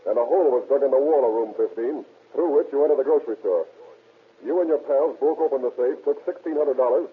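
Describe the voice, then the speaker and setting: Hard-nosed American detective, very husky, muffled vintage radio sound, insistent, matter-of-fact. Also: male voice, medium pitch, quick pace. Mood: stern, accusing. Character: shrewd, hard-nosed police detective boss interrogating suspects. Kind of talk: 1930s radio crime drama acting. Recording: muffled, raspy old radio recording.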